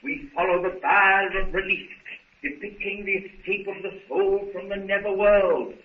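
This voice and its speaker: Man playing a high-voiced fussy professor, tinny old radio. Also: fairly even intonation, moderate pace, echoey room, lightly acted. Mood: proud, lecturing. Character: excitable, pedantic male professor of archaeology. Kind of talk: 1930s radio serial acting. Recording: tinny, noisy, distant 1930s radio recording.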